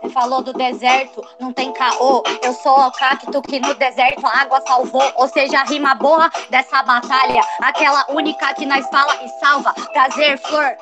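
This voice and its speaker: High flat-voiced woman rapping over beat. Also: normal speed, few pauses, over loud music. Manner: steady confident rhyming flow. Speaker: freestyle rap battle contestant. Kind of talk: freestyle rap over a beat. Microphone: video call. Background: loud rap beat.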